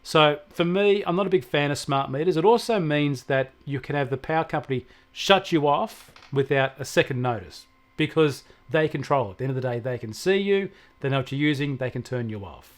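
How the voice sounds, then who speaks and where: A man's voice: a little high, expressive, a little fast. An Australian man co-hosting a casual tech talk, explaining things in a plain-spoken, opinionated way. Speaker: technology show co-host and expert.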